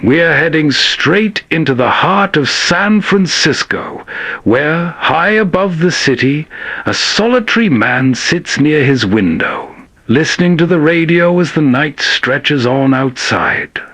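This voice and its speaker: A man narrates as the host of a nostalgic radio compilation. His voice is fairly high, soothing and very slow. The sound is close and dry, like a studio voice-over. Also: expressive, fairly bright tone, no echo. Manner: soothing, unhurried. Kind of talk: scripted bedtime narration.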